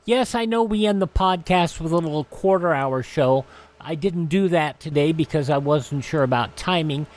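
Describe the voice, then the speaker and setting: A man hosting a radio podcast, giving a casual, unscripted rundown in a halting, self-correcting way. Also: fairly high pitch, darkish tone.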